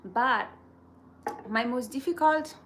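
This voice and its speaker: Woman, soft and slightly high voice, unhurried casual rambling, faint background noise. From Kenya. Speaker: vlogger chatting with viewers. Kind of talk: casual chat.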